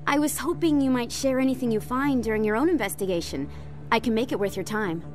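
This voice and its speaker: An actress playing an earnest, polite and persuasive investigative journalist in a sci-fi video game. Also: female voice, a little high, fast talker, soft background music, lightly acted. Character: eager, persuasive female investigative journalist on a space station. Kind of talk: video game dialogue acting.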